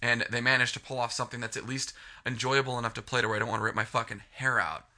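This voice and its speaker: A man's voice: mid-range voice, fairly even intonation, quick pace, sharp tone. A man reviewing a video game in a casual, rambling rant, sniffly from a cold and swearing freely. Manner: frustrated, rambling, heavy swearing.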